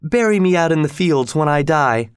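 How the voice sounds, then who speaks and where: Man voicing a high, plaintive dying young woman. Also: normal speed, darkish tone, dry recording, studio quality, lightly acted. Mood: bitter, anguished. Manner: dramatic, plaintive, exclaiming. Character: dying young woman, bitter, passionate, tormented lover.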